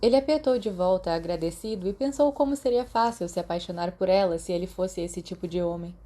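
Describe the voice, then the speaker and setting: A woman's voice: on the low side, a little fast, warm tone. A woman with a Brazilian accent reading scripted fiction as an audiobook narrator.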